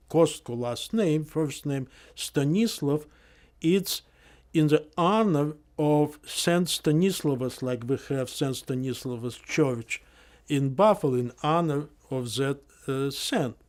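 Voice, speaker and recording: Older man speaking slowly, with halting pauses. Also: medium pitch, a little mellow. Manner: slow and halting, with many pauses and fillers; non-native grammar, articles often dropped. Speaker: interviewee. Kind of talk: oral history testimony.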